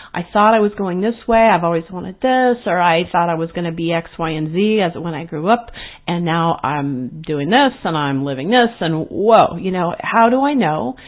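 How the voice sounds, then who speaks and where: A woman hosts her own podcast in an earnest, reflective monologue, slipping into the voices of the people she describes. Her voice sits in a middle register and comes through thin and phone-like, with the low and high ends cut away. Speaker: podcast host and coach. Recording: phone-like narrow sound.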